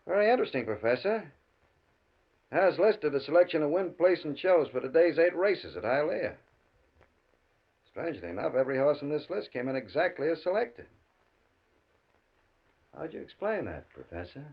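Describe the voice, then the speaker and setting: An actor playing a smug, menacing gambling boss, mock-polite and deliberate, in the style of a 1940s radio thriller. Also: male voice, on the high side, big pitch swings. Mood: coolly threatening. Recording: dull, lo-fi old radio recording.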